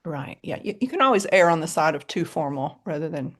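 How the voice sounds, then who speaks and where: Podcast co-host, American woman, lowish voice, easygoing banter. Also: expressive, a little fast, fairly bright tone. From United States. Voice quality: low voice. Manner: chatty, laughs often, puts on voices for examples. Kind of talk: casual chat.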